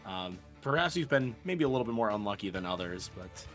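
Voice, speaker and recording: A man's voice: fairly low pitch, animated, brisk, a bit bright, faint music behind. A man hosting a livestreamed speedrun event, projecting like a broadcaster while fighting off a sickness. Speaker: host and commentator of a speedrun event. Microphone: own microphone at a desk.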